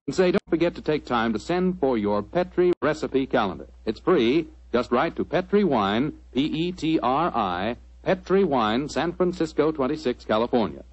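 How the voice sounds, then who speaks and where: A man's voice: medium pitch, lively intonation, moderate pace, few pauses, no echo. An American man announcing in 1940s radio style, with folksy, salesmanlike advertising patter. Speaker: radio announcer and sponsor pitchman. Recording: dull, lo-fi 1940s radio recording.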